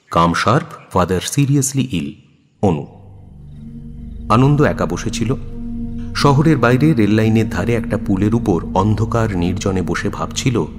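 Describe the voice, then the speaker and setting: A man narrating a dramatic audio story in a literary, theatrical manner. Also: lowish voice. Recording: long reverb, music behind. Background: music.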